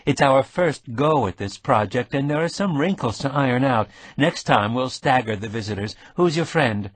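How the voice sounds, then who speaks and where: A man voicing a brisk, businesslike woman who organizes volunteers. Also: mid-range voice, animated, husky, no echo, pitched above the performer's own voice.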